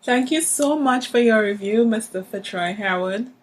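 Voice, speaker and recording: A woman's voice: a little high, normal speed, slight background noise. A young woman from the Caribbean hosting a podcast, reading her introductions in a formal, polished way and interviewing a guest. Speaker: podcast host.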